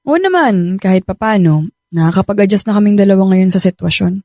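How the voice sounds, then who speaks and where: An actress playing a gentle, trusting young pregnant woman in a Filipino radio drama, speaking affectionately with a Filipino accent. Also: medium pitch, animated, a little fast, dry recording, natural voice. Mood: friendly. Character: young pregnant live-in partner, sweet, trusting, caring. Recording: studio drama over background music.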